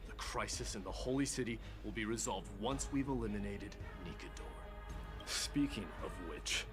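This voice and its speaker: An actor playing a proud young warrior heir who speaks coldly and formally, in a video game cutscene. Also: male voice, on the low side, natural voice. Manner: cold, confrontational and formal. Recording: game audio with music behind.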